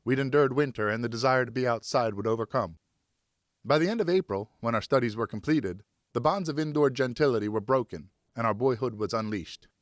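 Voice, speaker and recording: Expressive male audiobook narrator, dry sound. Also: medium pitch, a little fast, dry recording. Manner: expressive, carefully phrased storytelling. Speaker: audiobook narrator, professional voice actor. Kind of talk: scripted literary narration.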